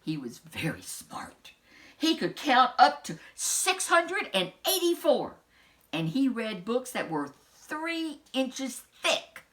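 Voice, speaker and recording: A woman reading a children's story aloud in an expressive storytelling style, with dramatic emphasis and casual asides. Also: high-pitched, takes it slowly.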